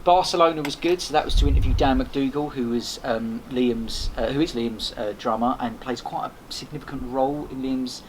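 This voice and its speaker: A man telling rambling anecdotes in a casual podcast chat, animated and full of restarts. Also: mid-range voice, normal speed, few pauses. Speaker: podcast host and guest. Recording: noisy background.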